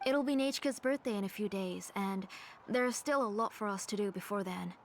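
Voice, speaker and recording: A woman's voice: mid-range voice, brisk, lightly acted. A woman playing a protective, politely firm mother in an anime game. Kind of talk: anime game dialogue acting.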